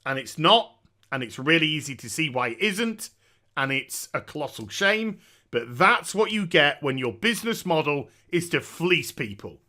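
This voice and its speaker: Bright, forceful man, emphatic commentary. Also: fairly high pitch, big pitch swings, moderate pace. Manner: emphatic, blunt, repeats words for stress. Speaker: gaming news commentator and streamer. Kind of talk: opinionated off-the-cuff commentary.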